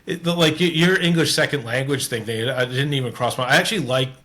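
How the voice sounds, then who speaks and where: A man's voice: medium pitch, fast, fairly bright tone. A man with a Canadian accent hosting a casual interview, talking earnestly and rambling with many restarts. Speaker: podcast host.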